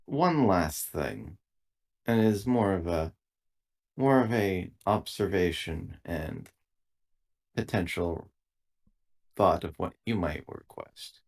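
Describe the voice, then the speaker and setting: Man playing a slow, deep, formal shipboard android. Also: vocal fry, a little mellow, heavily acted. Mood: polite, deliberate. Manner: measured, formal, halting.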